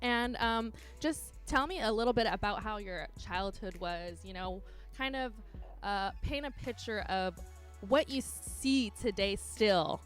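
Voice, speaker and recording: A woman's voice: medium pitch, unhurried, faint music behind. A woman interviewer speaking casually and encouragingly in a personal one-on-one conversation.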